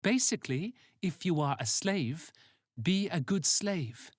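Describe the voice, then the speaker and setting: A blunt, commanding woman leading a team in a sci-fi audio story, as read by a narrator. She speaks briskly and with warning, pitched a little up, in a dry recording. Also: expressive, husky, no echo. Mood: dry, explaining.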